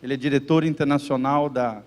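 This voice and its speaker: A man's voice: mid-range voice, fairly even intonation, fairly quick, a little mellow. A man, the pastor, introducing a guest to his church and interpreting the sermon live, with fillers and repetitions. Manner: fervent, repeats phrases, fillers like "né". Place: church service. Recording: roomy church reverb.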